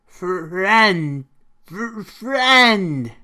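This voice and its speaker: An actor playing a huge, simple-minded friendly vampire monster in a radio drama, speaking in halting single words. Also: mid-range voice, lively intonation, sharp tone, full character voice. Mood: eager, friendly. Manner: halting, single-word speech. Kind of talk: radio drama creature acting.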